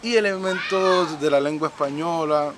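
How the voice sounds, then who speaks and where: Proud Colombian Caribbean man guiding outdoors. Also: on the high side. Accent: Colombian Caribbean, Palenque. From San Basilio de Palenque, Colombia. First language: Palenquero. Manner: earnest, proud, explains at length with pauses. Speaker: local community guide. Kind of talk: explanatory tour talk. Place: outdoors in a village. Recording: outdoor recording with loud street noise.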